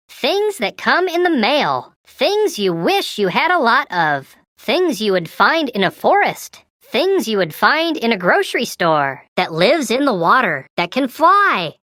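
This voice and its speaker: A bubbly, eager Christmas elf from a talking toy. The voice sits fairly high and swings up and down in a sing-song, encouraging way. It takes its time over each phrase, like a guide explaining a game to small players. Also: female voice, fairly bright tone, dry recording, studio quality, exaggerated voice. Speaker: talking toy character guiding a word game. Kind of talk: scripted toy voice prompts.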